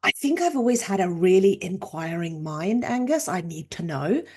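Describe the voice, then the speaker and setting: A woman in her fifties from Norway, a chiropractor and researcher telling her story in a casual, animated interview. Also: lowish voice, normal speed, husky. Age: 50s. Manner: animated storytelling, acts out quoted voices.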